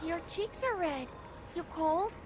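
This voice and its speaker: Very high, youthful companion. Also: female voice, animated, slow, music in the background, heavily acted. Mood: curious. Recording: loud ambient noise behind.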